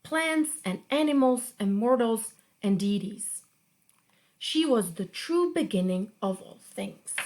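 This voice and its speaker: A young woman reading a myth aloud for a children's storytime, slowly and expressively. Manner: slow, expressive read-aloud with lively pitch. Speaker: guest reader.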